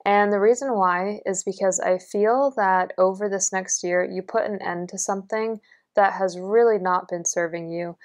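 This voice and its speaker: A woman's voice: medium pitch, steady tone, moderate pace. A young woman giving a tarot reading in an earnest, encouraging way, her voice a little worn. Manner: earnest, encouraging, lots of fillers. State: losing her voice. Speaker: tarot reader. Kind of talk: pick-a-card tarot reading. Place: indoors at a table, only hands seen.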